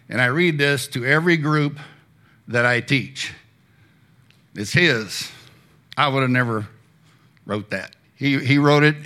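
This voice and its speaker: Bright-voiced man preaching a sermon. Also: mid-range voice. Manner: asks the audience questions, admits he has a loud voice. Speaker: church teacher. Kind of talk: sermon with dramatic reading. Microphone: handheld microphone.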